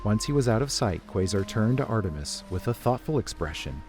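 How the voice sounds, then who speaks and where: A man's voice: lowish voice, big pitch swings, brisk, darkish tone, soft background music. A man reading scripted story narration in the third person as the narrator.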